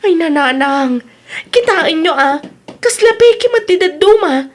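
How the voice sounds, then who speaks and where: High-pitched, earnest Ilocano daughter acted by a woman. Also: lively intonation, unhurried. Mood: worried, scolding. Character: concerned, scolding grown daughter who supports her parents. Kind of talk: Ilocano radio comedy drama acting.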